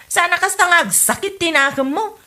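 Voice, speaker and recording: An exasperated, scolding Ilocano wife played by a woman in a comedy audio drama. Her voice is high and bright, and she nags with a Filipino lilt. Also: moderate pace, heavily acted. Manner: nagging, scolding.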